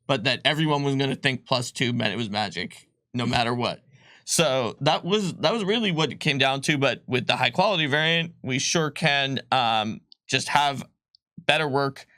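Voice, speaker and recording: A man's voice: medium pitch, sharp tone, no echo, studio quality. A man co-hosting a casual livestream, explaining game rules with many fillers and restarts. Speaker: game designer co-host. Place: studio.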